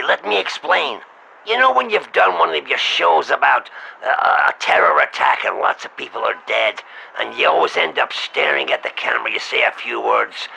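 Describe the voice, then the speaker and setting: A man with a rough, gravelly voice and a hard, cutting edge to his tone, talking like a pushy agent on a comic call. He coaxes and wheedles with crude jokes, and there is faint hiss behind him. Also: mid-range voice, pushed and bright, low-quality recording. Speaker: prank caller posing as an agent. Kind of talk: comic scripted phone pitch.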